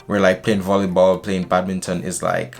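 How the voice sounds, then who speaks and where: Low-voiced young man from Jamaica. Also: normal speed, gravelly. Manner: chatty, animated with hand gestures. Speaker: vlogger. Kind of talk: casual vlog to camera. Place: small indoor room, decorated shelves.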